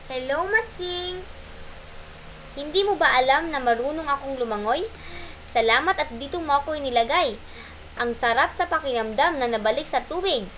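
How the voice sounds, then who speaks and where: Puppet-show turtle, acted by a woman: high-voiced, plaintive, quietly clever, faint noise behind. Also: normal speed, rough recording. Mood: gloating. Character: gentle but crafty turtle, wronged and clever. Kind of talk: amateur puppet show acting.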